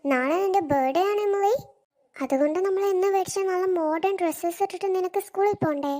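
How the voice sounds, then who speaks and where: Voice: extremely high pitch, fairly quick, a little mellow. A performer voicing a bossy, proud cartoon mother in exaggerated scripted dialogue. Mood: firm, coaxing. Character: bossy, proud cartoon mother planning a showy birthday party.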